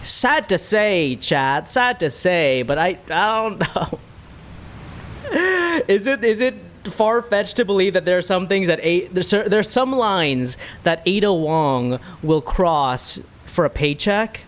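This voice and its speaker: An excitable streamer commenting casually on a game for a live chat. The delivery is animated, jumping around in pitch, with words often repeated. There is faint noise behind the voice. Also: takes it slowly. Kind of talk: casual livestream gaming commentary with reactions.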